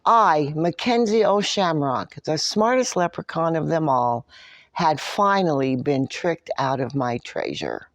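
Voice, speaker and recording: A woman's voice: sits low, very animated, unhurried, dry recording, full character voice. Lady reading as a smug little leprechaun, grumbly and dramatic storybook telling, dry close sound. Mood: worried, betrayed. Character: Mackenzie O'Shamrock, smug, grumbling, secretly soft-hearted leprechaun. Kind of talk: first-person picture-book read-aloud.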